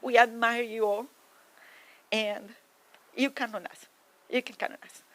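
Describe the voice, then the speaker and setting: A woman's voice: a little high, fairly even intonation, a little slow, a bit bright, rough recording. Woman fondly remembering a neighbor. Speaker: neighbor and friend giving a eulogy. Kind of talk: memorial tribute.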